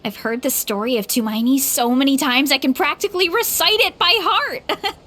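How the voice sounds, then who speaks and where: A young woman voicing a bubbly, eager female athlete in a game, speaking with excited enthusiasm. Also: sits high. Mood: starstruck. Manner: bubbly, enthusiastic, talks with excited warmth about meeting heroes. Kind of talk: game voice acting.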